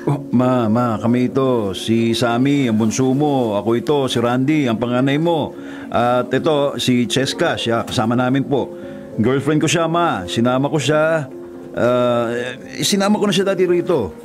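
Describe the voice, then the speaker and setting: An actor playing a devoted, burdened eldest son, hesitant and earnest, in a Filipino radio drama. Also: male voice, mid-range voice, moderate pace, natural voice. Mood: pleading. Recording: loud music bed behind voice.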